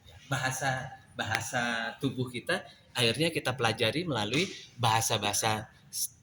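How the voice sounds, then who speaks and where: Bright-voiced man explaining on a panel. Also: mid-range voice, normal speed, slight background noise. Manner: agreeing often, explaining with gestures. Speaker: mime discussion panelist. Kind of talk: panel discussion.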